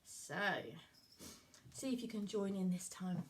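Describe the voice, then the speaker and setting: A woman's voice: mid-range voice, unhurried, mellow. A woman telling a puppet story to young children in an encouraging, sing-song way.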